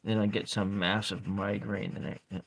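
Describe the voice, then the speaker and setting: A man with a calm voice chatting softly with viewers while he draws and colors tarot cards, trailing off and restarting as he works. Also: normal speed, gravelly. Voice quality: calm speaking voice. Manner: quiet, low-key chatting with viewers. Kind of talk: soft-spoken ASMR art livestream chat.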